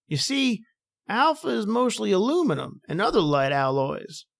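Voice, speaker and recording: A man acts a proud, avuncular old inventor in an old-time radio play. The voice is high and gravelly, with theatrical, sweeping intonation. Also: sing-song, normal speed, exaggerated voice. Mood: proud, expansive.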